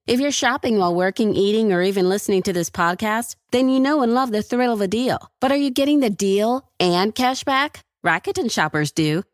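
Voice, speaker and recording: A woman reads a scripted ad as an announcer. Her voice sits a bit high and the recording is dry, with no room sound. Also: dry recording. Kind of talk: scripted ad read.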